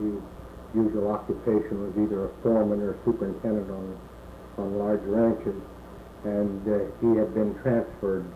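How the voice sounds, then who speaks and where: A man in his sixties from California, recalling his early life in an oral history interview and pausing often with "uh". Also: fairly low pitch, dark tone. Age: 60s. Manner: halting, many "uh" pauses. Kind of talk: slow reminiscing answers. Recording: echoey room, muffled phone-like tape with faint hiss.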